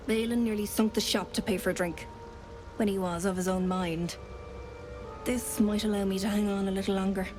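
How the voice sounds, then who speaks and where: A woman's voice: mid-range voice, lightly acted. An actress as a blunt, weary woman trader, music behind. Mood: softened, grateful. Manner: blunt, plain-spoken. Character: weary, hard-bitten female trader, blunt but grateful.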